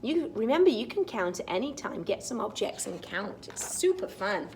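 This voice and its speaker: A woman storytime teacher reading a picture book aloud to young children, expressive and sing-song, with chatty asides. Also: fairly high pitch, moderate pace, a little hiss or hum, rough recording.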